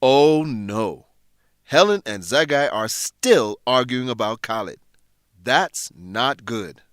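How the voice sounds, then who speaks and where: Male story host: presentational scripted narration for learners, mid-pitched with lively swings. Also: takes it slowly, no echo, clean studio sound. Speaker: narrator of a radio drama.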